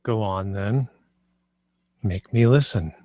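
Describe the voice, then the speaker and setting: A man's voice: on the low side, takes it slowly, dark tone, no room sound. An actor at a screenplay table read playing Michael, a paranoid, violent and frightened man who is by turns menacing and pleading. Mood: bitter challenge. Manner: volatile, menacing then pleading.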